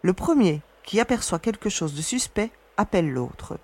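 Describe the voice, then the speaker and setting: A woman acting a resolute young space explorer who reasons calmly and gives orders. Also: mid-range voice, normal speed, husky, no room sound. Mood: steady, reasoning. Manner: calm, reasoning, commanding. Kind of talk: audio drama acting.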